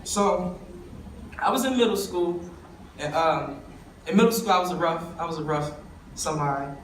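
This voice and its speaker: A young man speaks in a high voice, telling his own story in an emotional, conversational way and talking straight to the crowd. He stands away from the mic in a roomy, reverberant hall. A faint hum of the room sits behind him. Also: normal speed. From East Baltimore. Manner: emotional, conversational, addresses the audience directly. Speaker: summit speaker. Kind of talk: personal storytelling speech. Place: conference hall. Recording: echoey room, voice from a distance.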